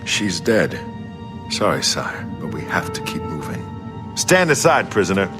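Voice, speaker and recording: A man's voice: deep voice, wildly swooping pitch, measured pace, music in the background, heavily acted. A man playing a tough, loyal imperial guard in a fantasy video game, terse and businesslike. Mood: urgent, respectful.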